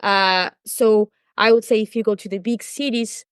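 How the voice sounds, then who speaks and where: A woman's voice: mid-range voice, steady tone, moderate pace, smooth, dry recording, clean studio sound. A woman who grew up speaking Portuguese, a language teacher talking in a casual podcast conversation, thoughtful, with many fillers and restarts.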